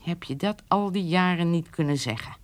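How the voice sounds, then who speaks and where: A woman's voice: on the low side, normal speed, lightly acted. An actress playing a proud, unforgiving old woman in a radio drama, with a curt, cutting manner. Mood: bitter, cold. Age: older. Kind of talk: naturalistic radio drama dialogue.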